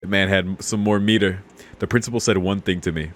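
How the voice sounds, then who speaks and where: A man's voice: deep voice, steady tone, fairly quick. A man streaming a let's play, amused and slangy, commenting casually and reading lines aloud.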